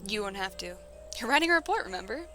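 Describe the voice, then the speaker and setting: A brash female soldier voiced by a woman with a mid-pitched voice and clear music behind her. She is teasing, blunt and irreverent, bantering casually as in a fantasy audio drama. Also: very animated, fast talker, bright, forceful tone. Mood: amused, coaxing. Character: Edith, brash, teasing female spear-wielding sergeant.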